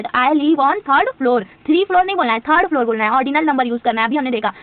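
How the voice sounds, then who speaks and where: A woman teaching spoken English, asking quiz questions and answering them herself, and drawing out her English example sentences slowly. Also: sits high, no echo, phone-quality sound. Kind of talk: spoken English lesson for Hindi speakers.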